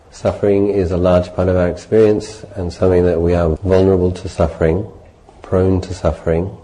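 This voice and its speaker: Very dark, low-voiced male meditation teacher. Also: low-quality recording. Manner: measured, gentle, with deliberate pauses between short phrases. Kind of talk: guided meditation talk.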